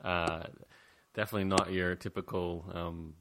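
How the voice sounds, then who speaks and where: A man's voice: sits low, level tone, moderate pace, heavy background noise. A man hosting an interview over a call, chatty and friendly, with many fillers and restarts. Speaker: podcast host. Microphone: call recording.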